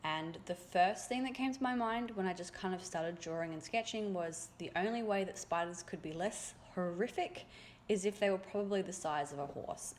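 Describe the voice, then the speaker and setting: Raspy-voiced young woman chatting while drawing. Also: lowish voice, a little hiss or hum. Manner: chatty, rambling, with fillers. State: raspy from a cold. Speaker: art youtuber. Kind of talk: casual voice-over while drawing. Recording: voice-over with loud music behind.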